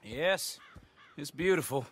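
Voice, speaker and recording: An actor playing a brusque, suspicious ranch overseer in a western game, speaking curtly. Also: male voice, a little high, animated, slow, background noise. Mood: curt, formal. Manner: curt. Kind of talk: cinematic western game dialogue.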